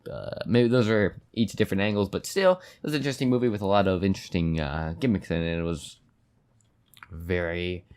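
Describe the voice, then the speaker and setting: Dude with a low voice, rambling casually thru a reaction vid intro. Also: male voice, a little fast, a little mellow, clean tone. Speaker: reaction video host.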